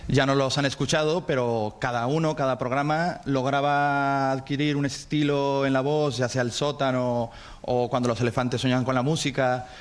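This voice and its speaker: A man's voice: mid-range voice, little pitch movement, clean tone. A man with a Mexican accent, a university lecturer speaking thoughtfully on a panel. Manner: thoughtful, measured, with restarts. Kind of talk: panel discussion.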